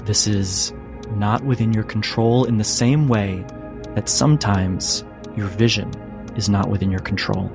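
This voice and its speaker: A man narrating a tabletop role-playing game as game master, in deliberate, broken-up phrases. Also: lowish voice, fairly even intonation, gravelly, music in the background.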